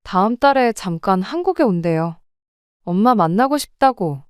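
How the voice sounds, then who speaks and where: A woman voicing a caring adult daughter who speaks warmly and encouragingly to her mother. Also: medium pitch, expressive, normal speed, smooth, dry recording, studio quality, lightly acted. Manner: warm, encouraging.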